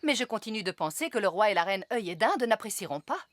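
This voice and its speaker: A woman's voice: medium pitch, lively intonation, quick pace, fairly bright tone, slight background noise, lightly acted. A woman playing a refined, fussy fairy queen and mother in a French cartoon, with formal, emphatic diction. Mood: polite, concerned.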